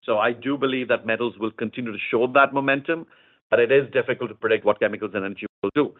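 A man speaking as a company executive, giving measured, explanatory answers in a semi-formal earnings call style, often repeating phrases. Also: mid-range voice. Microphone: conference call line. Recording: narrow tinny phone-line sound.

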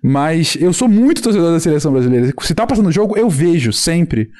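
A man in his thirties speaks with a Carioca Brazilian accent and a somewhat high, mellow voice. He is a podcast guest in casual chat, telling his stories in an animated, rambling way full of restarts. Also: a little fast. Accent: Carioca Brazilian Portuguese. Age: 30s. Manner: animated, rambling storyteller with many restarts and fillers.

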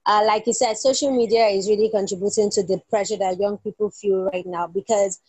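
A woman's voice: mid-range voice, fairly even intonation, fairly quick, darkish tone, husky, no echo, poor audio. A young woman, hosting a podcast and talking conversationally. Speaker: podcast host. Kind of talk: conversational interview.

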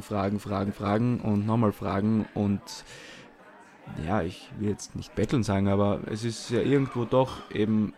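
A man's voice: lowish voice, darkish tone. A man from Vienna with a Viennese accent, talking casually as a podcast co-host. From Vienna, Austria.

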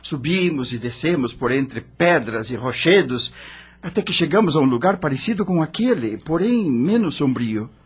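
A man's voice: on the high side, expressive, moderate pace, husky. An actor playing an anguished dead rock star who narrates his own suffering dramatically in a radio drama. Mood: weary, fearful.

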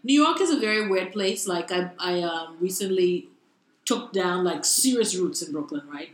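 A young woman from the Bahamas, a guest in a casual interview, talking in an animated, laughing way. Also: lowish voice, moderate pace, slight background noise. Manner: animated, laughing, lively storytelling. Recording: roomy echo.

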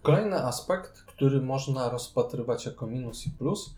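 A man with a soft, mellow voice talks as a podcast co-host. His delivery is conversational and analytical, relaxed in tone and mid-pitched. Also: normal speed. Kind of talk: casual board game discussion.

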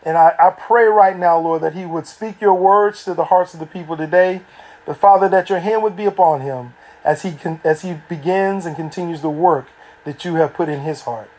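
A man's voice: fairly high pitch, moderate pace, darkish tone, rough recording. A man acting as pastor, praying aloud earnestly over a guest preacher. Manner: earnest, prayerful. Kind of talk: spoken prayer.

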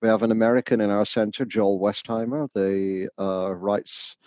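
A man's voice: on the low side, steady tone, normal speed, a little mellow, no echo, highs cut off. A man from the north of England with a Northern English accent, answering interview questions thoughtfully with frequent fillers and restarts. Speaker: education researcher.

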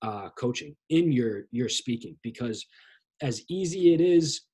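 A man with a husky voice hosts a casual podcast interview in a laid-back, easygoing way. He talks loosely and slips "dude" into his questions. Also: mid-range voice, expressive, unhurried, dark tone. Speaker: podcast host.

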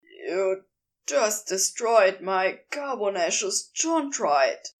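A woman's voice: medium pitch, expressive, extremely slow, pitched below the performer's own voice. A woman voicing an easygoing, teasing, encouraging man in audiobook character dialogue. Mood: aghast.

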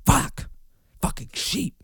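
A man's voice: slow, sharp tone, no echo, in a whisper, studio quality. A young man hosting a casual solo podcast, talking in a loose, rambling way and swearing freely. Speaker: podcast host.

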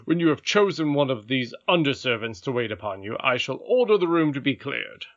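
A man's voice: mid-range voice, sing-song, brisk, fairly bright tone, muffled recording. A man voicing a courteous, formal captain of royal guards from a storybook, gallant and deferential. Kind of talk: storybook read-aloud character voice.

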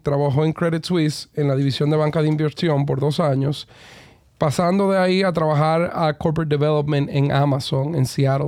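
A man's voice: on the high side, dark tone, no echo, clean studio sound. Upbeat man hosting a podcast. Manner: upbeat and enthusiastic, with frequent hesitation sounds. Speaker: podcast host. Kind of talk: podcast interview, reading a guest introduction. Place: recording booth.

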